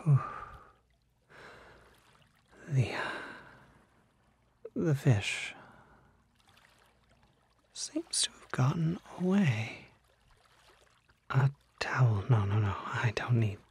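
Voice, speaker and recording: A man playing a smooth-talking, unsettling kelpie in an ASMR roleplay, breathy and half-whispered, with halting, coaxing, genteel speech. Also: medium pitch, sing-song, darkish tone, full character voice. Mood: flustered. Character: unsettling smooth-talking male kelpie, charming, needy, secretly predatory.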